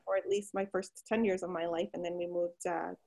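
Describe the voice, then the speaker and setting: A woman physician who grew up in Pakistan and the United States, answering interview questions with many pauses and restarts. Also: lowish voice, little pitch movement, creaky. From Pakistan, raised in the United States. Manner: hesitant, many fillers and restarts, warms up when enthused. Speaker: physician guest.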